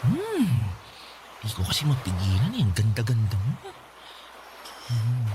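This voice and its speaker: An actor playing a lecherous, sly live-in boyfriend with a leering way of talking in a radio drama. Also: male voice, mid-range voice, huge pitch swings, music in the background. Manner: leering, drawn-out murmurs, whiny when denying. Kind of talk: Filipino radio drama acting.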